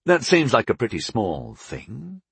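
A man voicing a young adult wizard son in an audiobook, earnest and questioning, quick to be startled or indignant. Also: mid-range voice, wildly swooping pitch, vocal fry, a bit bright, slightly rough, dry recording, exaggerated voice. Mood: concerned. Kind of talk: audiobook character dialogue.

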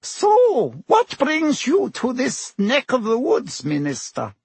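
A male narrator puts on a gossipy village landlady for an audiobook. The voice is very high and breathy and swoops widely. She is chatty and curious, leaning on her words and stretching them out. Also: wildly swooping pitch, slow, a little mellow, no room sound, heavily acted.

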